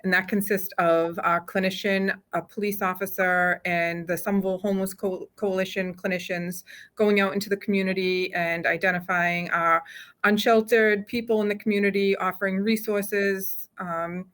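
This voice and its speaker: A woman speaking as a police chief in an interview, halting often with ums as she explains things. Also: mid-range voice, steady tone, a bit bright. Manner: hesitant, halting delivery with frequent ums. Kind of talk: interview answers.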